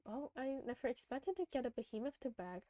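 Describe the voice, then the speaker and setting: A woman voicing a self-assured young witch fortune-teller from a video game, wry and deliberate in manner. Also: high-pitched, lively intonation, dark tone, no room sound. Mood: wry, teasing. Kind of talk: reading video game dialogue aloud.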